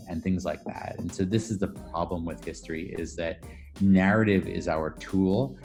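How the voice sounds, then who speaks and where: A man's voice: low-pitched, gravelly, a little mellow. A man speaking as an academic interviewee, explaining ideas in a thoughtful, articulate way and rephrasing as he goes. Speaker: academic interviewee, architectural historian and professor.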